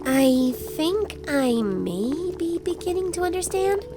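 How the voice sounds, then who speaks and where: A woman's voice: very high-pitched, very animated, takes it slowly, over music, heavily acted. A woman playing a curious, puzzled young pony student in a fan audio drama, questioning and earnest. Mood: tentative.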